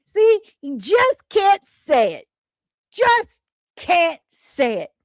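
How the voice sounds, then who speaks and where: A woman's voice: very high-pitched, wildly swooping pitch, extremely slow, dry recording, studio quality. A woman hosting a casual entertainment livestream, chatting playfully and teasingly, often repeating phrases for emphasis. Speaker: livestream host. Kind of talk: casual livestream chat about entertainment news.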